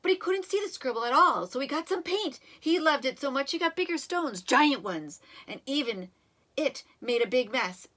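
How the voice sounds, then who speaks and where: A woman reading a children's story aloud in an expressive, animated way, with dramatic emphasis and drawn-out words. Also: high voice. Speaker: storybook reader.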